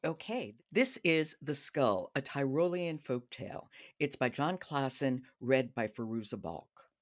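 A woman's voice: a bass voice, lively intonation, measured pace. A woman hosting a podcast in a conversational style. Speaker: podcast host.

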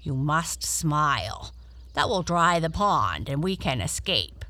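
A woman voicing a talking male frog in a children's story, fussy, excitable and given to formal grumbling. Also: low voice, slow pace, no echo, voice pushed down, full character voice. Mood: coaxing. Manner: formal, complaining, bossy.

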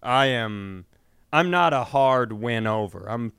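A man's voice: medium pitch, animated, slow pace, vocal fry, fairly bright tone, smooth, dry recording, clean studio sound. A man co-hosting a comedy podcast, telling stories casually in a confessional, self-deprecating way. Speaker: podcast co-host.

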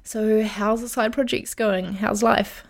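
A woman's voice: mid-range voice, fairly even intonation, a little slow. Female podcast co-host, friendly and enthusiastic, explains things step by step, mid-range voice. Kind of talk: casual podcast chat.

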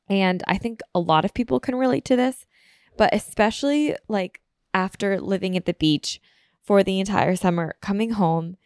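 A woman's voice: medium pitch, a little mellow, no echo, studio quality. A woman in her twenties hosting her own podcast alone, rambling casually with lots of fillers, a little sniffly from a cold. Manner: rambling, chatty, filler-heavy. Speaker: podcast host. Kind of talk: casual solo podcast chat.

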